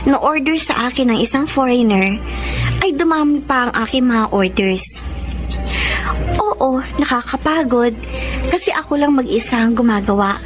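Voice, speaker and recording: An actress playing an earnest, cheerful, grateful young woman in a radio drama. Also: fairly high pitch.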